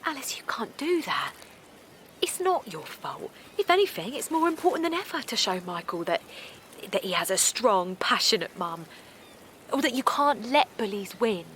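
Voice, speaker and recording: A woman's voice: on the high side, very animated, moderate pace, fairly bright tone, a little hiss or hum. An actress playing a supportive, anxious woman in a naturalistic audio drama, speaking earnestly and plainly. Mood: warm reassurance. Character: Linda, a supportive, anxious woman and loyal friend. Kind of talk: naturalistic audio drama acting, with dialogue and narration.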